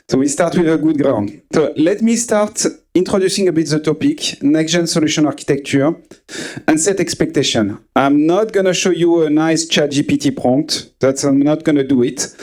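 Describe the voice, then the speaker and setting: A man in his forties from France with a French accent, giving a conference talk to a live audience, pausing often with fillers and restarts. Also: on the high side, darkish tone. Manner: hesitant, many uh fillers and restarts. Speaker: conference speaker.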